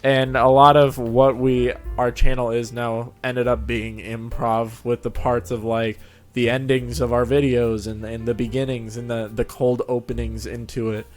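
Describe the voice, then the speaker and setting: A man chatting casually as a channel host, telling stories in an animated way and often laughing as he talks. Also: medium pitch, normal speed, soft background music. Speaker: host of a fan fiction narration channel. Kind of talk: casual chat.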